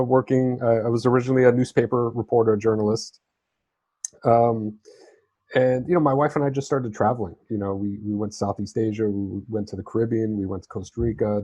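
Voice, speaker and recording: A man's voice: medium pitch, a little mellow, no room sound. A man who grew up in southern Spain talks conversationally as an interview guest, telling his own story in an easygoing, explanatory way. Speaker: interview guest, freelance writer and travel correspondent.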